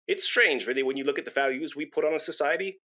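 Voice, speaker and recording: An actor playing a pompous, nervous British chatterbox in a comic radio play, rambling and stammering when flustered. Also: male voice, on the high side, fast talker, bright, forceful tone. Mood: chatty, flustered. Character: pompous, nervous, chattering British man come to give blood. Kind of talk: comic radio play acting before an audience.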